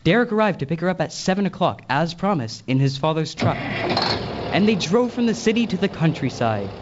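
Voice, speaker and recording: A man's voice: on the high side, big pitch swings, normal speed, dull audio, slight background noise. A man playing the storyteller, reading scripted narration for a Christmas radio play. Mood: suspenseful storytelling.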